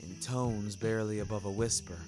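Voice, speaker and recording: A man narrating a scripted first-person story for a fiction podcast in measured, literary phrasing. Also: lowish voice, steady tone, music in the background.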